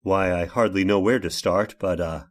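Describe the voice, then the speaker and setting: An actor playing a courteous, ailing inventor of artificial limbs in a radio horror drama, speaking in a halting, rambling, genteel way. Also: male voice, low-pitched, close to an everyday voice. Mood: polite, uneasy. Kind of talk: old time radio horror drama acting.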